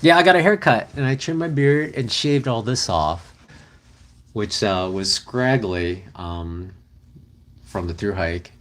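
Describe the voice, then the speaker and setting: Brief: young male streamer, low-ish voice, relaxed rambling chat with fillers. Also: a little slow. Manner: rambling, easygoing, many fillers. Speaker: livestream host. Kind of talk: casual livestream chat reading comments. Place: car camping, indoors with partner.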